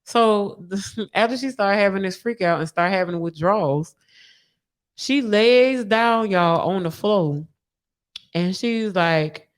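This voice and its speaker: Woman podcast storyteller, candid and profane, animated delivery in loose everyday slang. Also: medium pitch, slow.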